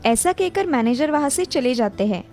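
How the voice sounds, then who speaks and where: A woman's voice: high voice, expressive, normal speed, a little mellow. A woman reading a scripted children's story as narrator, with music behind her. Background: background music.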